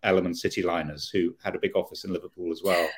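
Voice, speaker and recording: A man raised in Kenya with a posh Southern English accent, talking thoughtfully as a guest with many halting restarts. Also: low-pitched, steady tone. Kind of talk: conversational interview.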